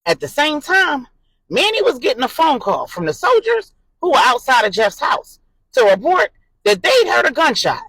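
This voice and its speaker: A woman narrating an adult drama story in an expressive, suspenseful way and voicing the characters' dialogue. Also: high-pitched, moderate pace, no echo, rough recording.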